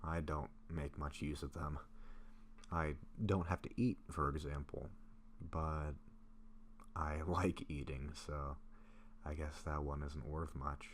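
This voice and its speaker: A man playing a gentle, affectionate vampire in an intimate roleplay audio drama, speaking in a soft, halting, confiding way. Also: very deep voice. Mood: hesitant, explaining. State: sick, occasional coughing. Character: gentle sick male vampire, affectionate, self-doubting best friend. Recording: faint steady background noise.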